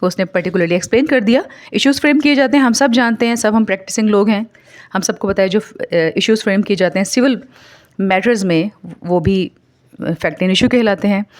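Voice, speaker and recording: A woman with a Pakistani accent giving an explanatory lecture in a didactic way, mixing Urdu and English and slowing down to read aloud. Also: mid-range voice. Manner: didactic, code-switches between Urdu and English. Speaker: law lecturer. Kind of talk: explanatory exam lecture, alternating slow read-aloud with commentary.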